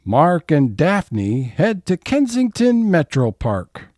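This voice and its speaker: An actor playing the narrator who sets scenes in an audio comedy. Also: male voice, on the high side, huge pitch swings, slow, darkish tone, no echo, exaggerated voice. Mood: announcing. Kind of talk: scripted scene-setting narration.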